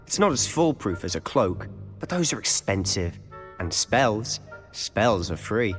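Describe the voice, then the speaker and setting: A man's voice: on the low side, sing-song, a little slow, fairly bright tone, faint music behind. A man voicing a confident, sly teenage wizard in a video game, with a British accent and a wry, conspiratorial manner. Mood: confident, instructing. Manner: conspiratorial, wry, self-assured. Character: confident, sly teenage schoolboy wizard, loyal rule-breaker.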